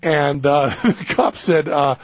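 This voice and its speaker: A man with a Western Massachusetts accent hosting a radio show, telling stories in lively, animated bursts. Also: a little high, slow pace, no room sound. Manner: animated storyteller, acts out quotes. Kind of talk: casual radio chat. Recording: dull radio audio.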